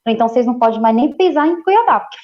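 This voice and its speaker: A woman in her forties from Ceará, raised in São Paulo, a nutritionist talking as a guest in a lively, easygoing way. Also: a little high, rapid-fire, highs cut off. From Ceará, Brazil, raised in São Paulo. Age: 40s. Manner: lively, laughs easily, repeats phrases. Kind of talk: casual interview.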